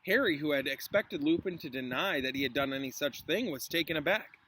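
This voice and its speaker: A man's voice: on the high side, expressive, brisk, pushed and bright. A male teacher reading a storybook aloud to children outdoors, with informal explanatory asides. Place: outdoors in a chilly llama pasture. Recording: clearly noisy outdoor recording with clicks.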